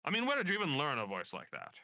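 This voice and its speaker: A man's voice: fairly high pitch, wildly swooping pitch, rapid-fire, vocal fry, sharp tone, dry recording, clean studio sound, full character voice. A man playing a pompous, bigoted state censor in a dystopian radio play, haranguing and scornful. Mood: indignant. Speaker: voice actor.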